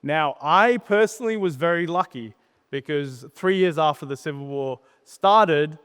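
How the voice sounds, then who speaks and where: Clear-voiced man in a reverberant hall. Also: fairly high pitch, a bit bright. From born in Sri Lanka, grew up in Australia. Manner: plain-spoken, conversational, uses pauses and tag questions. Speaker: startup co-founder, conference speaker. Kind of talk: informal conference presentation, personal storytelling. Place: conference stage in a large hall.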